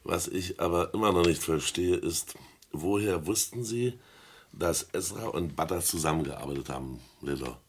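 Deep husky police officer. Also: male voice, normal speed. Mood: curious, businesslike. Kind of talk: German crime radio play acting.

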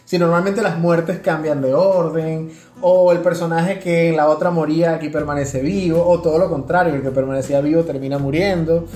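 A woman with a fairly low voice chats casually as a podcast co-host. She keeps prodding with questions and little interjections, in a roomy, echoing space with music behind her. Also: steady tone, fairly quick, dark tone. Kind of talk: casual conversational podcast chat.

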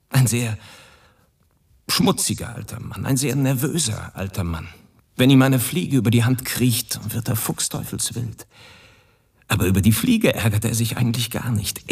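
An actor playing a world-weary man abroad in a radio drama monologue, husky and ruminative. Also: medium pitch, recorded from a distance, heavily acted. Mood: brooding, bleak.

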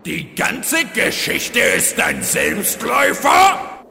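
A man's voice: high-pitched, huge pitch swings, slow, gravelly, sharp tone, soft background music, exaggerated voice. A man playing a theatrical undead arch-villain in a comic fantasy audio drama, hammy and comically domestic. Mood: outraged. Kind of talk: German audio drama parody. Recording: echoey hall reverb, faint noise.

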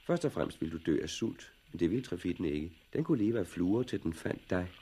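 An actor playing a brooding, cynical plant expert in a 1960s radio drama, deliberate and matter-of-fact about grim things. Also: male voice, sits low, very animated, mellow, husky, full character voice. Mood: brooding, ominous.

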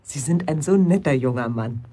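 Low, husky, courteous lady. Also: animated, moderate pace, dark tone. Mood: polite, grateful. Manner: courteous, gracious. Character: polite, grateful lady visitor on foot. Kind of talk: German radio drama acting.

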